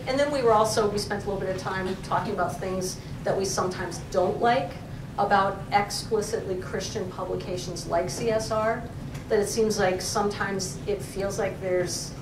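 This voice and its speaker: A woman professor answering audience questions at a library talk, thoughtful and full of fillers. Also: mid-range voice. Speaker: professor and co-editor. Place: library event room. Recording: echoey room, distant mic, noisy.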